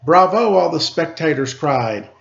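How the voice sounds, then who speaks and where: A man reading aloud to children as a storyteller, with theatrical, drawn-out emphasis and casual asides. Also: fairly high pitch, expressive, vocal fry. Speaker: storybook reader, teacher. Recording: muffled lo-fi recording.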